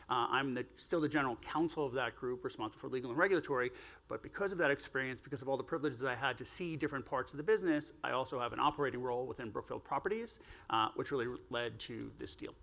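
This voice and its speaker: A man speaking as a guest on a conference panel, relaxed and loose, with lots of "uh"s and wry self-deprecating asides. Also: medium pitch, gravelly. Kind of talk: casual panel talk. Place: conference stage.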